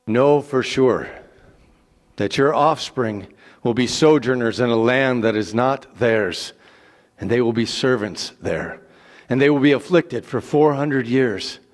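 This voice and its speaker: A man's voice: mid-range voice, measured pace, in a large hall, lightly acted. A man voicing God in a dramatic scripture reading, solemn, authoritative and emphatic in his declarations. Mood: solemn, commanding. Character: God, solemn authoritative male giving promises and commands.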